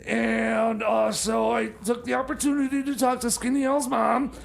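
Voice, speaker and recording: A man's voice: level tone, normal speed, full character voice. A man playing a flustered, eccentric small-town tinkerer in a comedy radio skit, stammering and rambling as he repeats himself. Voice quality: high-pitched, creaky. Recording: faint background noise.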